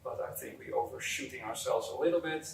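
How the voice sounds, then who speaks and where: A Dutch man in his early forties speaks in an accented, mid-pitched voice, answering interview questions off the cuff. He is recorded in an echoey room at some distance from the microphone, with faint background noise. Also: fast. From Netherlands. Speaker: company executive, interview guest.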